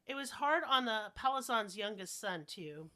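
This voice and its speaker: A woman's voice: mid-range voice, lively intonation, moderate pace, pushed and bright. Woman podcaster whos kinda sick, slangy joking storyteller, swears a lot, super casual. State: sick, coughing. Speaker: podcast host telling paranormal stories.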